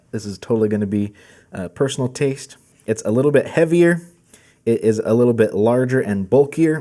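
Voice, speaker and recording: A man's voice: medium pitch, warm tone. A man hosting a product video, walking through gear in a casual presenting style. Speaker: host of a product channel.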